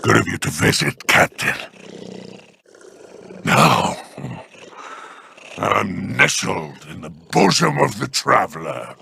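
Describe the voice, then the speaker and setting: Slow, gravelly schemer; bright edge, wheedling game villain. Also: male voice, on the low side, slight background noise, heavily acted. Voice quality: gravelly, drawling. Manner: wheedling and theatrical. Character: sly, smug alien crime lord, older male. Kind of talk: video game voice acting.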